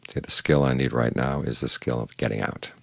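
An older man, a Buddhist monk, giving a calm, measured evening meditation talk in plain words. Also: very deep voice, gravelly, no room sound.

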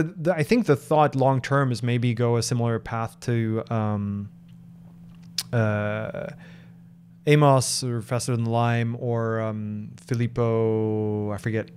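A man in his thirties from Norway talks through viewer questions on a live stream, pausing and restarting as he thinks aloud. His voice sits at an ordinary middle pitch. He speaks into a desk microphone in a home office with a faint hum behind him. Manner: thoughtful, hesitant with many fillers and restarts. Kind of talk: casual live Q&A. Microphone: large microphone on desk in front.

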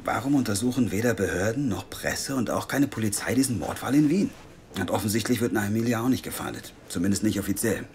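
An earnest journalist who keeps asking pointed questions. He sounds fairly low and steady. He turns defensive when he is teased. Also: fast talker, slight background noise. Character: earnest, lovestruck male journalist, probing. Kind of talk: audio drama acting.

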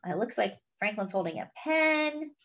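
A woman's voice: medium pitch, big pitch swings, moderate pace, bright, forceful tone, dull audio. A woman reading a picture book aloud expressively, with chatty, wondering asides to young listeners. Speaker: children's story reader.

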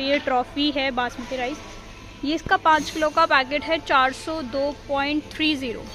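A woman's voice: sits high, rough recording. A woman in her thirties walking a supermarket with a phone camera, casually reading out prices as she shops. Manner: chatty, walks through aisles reading out prices. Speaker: vlogger. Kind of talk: casual shopping vlog. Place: supermarket aisles, indoors.

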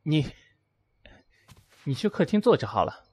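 Proud, wounded young lover played by a man for audio drama; curt, animated delivery. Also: fairly high pitch, unhurried, husky, exaggerated voice. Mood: cool. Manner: curt, emotional. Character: proud, hurt young man, prickly toward his lover. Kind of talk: audio drama acting in Mandarin.

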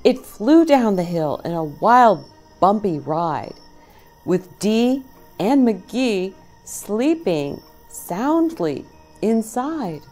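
A middle-aged woman reading a picture book aloud to young children, expressively, with dramatic phrasing and pauses. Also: mid-range voice, sing-song, darkish tone, faint music behind.

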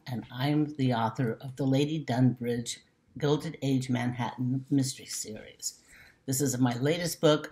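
A woman's voice: very deep voice, darkish tone. An older woman in her sixties speaks as an author giving a prepared introduction to her mystery novel. She is recorded at desk distance on a webcam in a room at home. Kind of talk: prepared book introduction to camera. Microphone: laptop webcam at desk distance.

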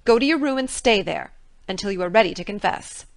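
A woman voicing a strict older female guardian with a sharp, scolding manner, read for an audiobook. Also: medium pitch, animated, moderate pace, no room sound. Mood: angry. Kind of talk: audiobook character reading.

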